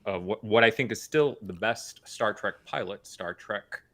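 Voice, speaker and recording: Lower-voiced man, bookish guest. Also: measured pace, sharp tone. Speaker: guest on a pop culture show. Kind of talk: casual, informed fan discussion.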